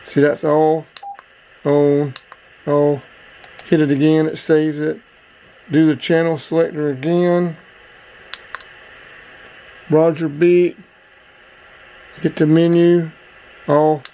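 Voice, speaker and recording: Male reviewer, slightly high-pitched, casual and plain-spoken hands-on host. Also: level tone, slow pace, long pauses, dark tone, slight background noise, low-quality recording.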